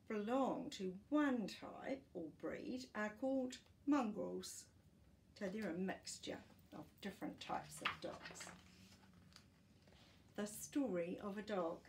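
A woman in her sixties reading a children's picture book aloud in an expressive, teacherly way, as if to young listeners. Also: medium pitch. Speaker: children's storytime reader. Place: small furnished living room, indoors.

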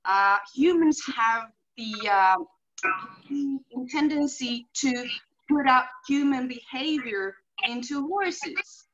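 A woman's voice: sits high, slow pace, fairly bright tone. A middle-aged woman with a Finnish accent, a horse behaviorist guest speaking earnestly over a video call, explaining with examples and many "you know"s. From Finland. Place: home room indoors. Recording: muffled video call audio with faint hiss.

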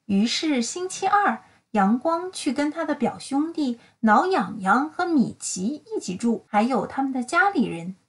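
A high-voiced woman reads a children's story aloud. Her delivery swings widely up and down in pitch, with animated, careful enunciation that keeps each phrase distinct. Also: measured pace, few pauses. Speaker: storybook reader. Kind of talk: expressive bilingual children's read-aloud.

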